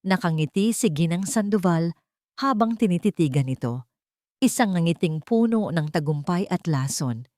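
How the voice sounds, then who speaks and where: A woman's voice: lowish voice, expressive, normal speed, darkish tone, no room sound, studio quality. A woman with a Filipino accent reading a scripted dramatic romance story as its narrator.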